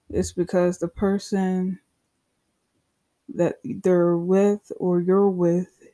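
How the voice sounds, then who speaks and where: A young podcast host in their twenties, talking alone in a loose, unscripted way. The delivery is slow and unhurried, the tone mellow and dark, candid and profane with frequent asides. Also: medium pitch. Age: 20s. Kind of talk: casual solo monologue.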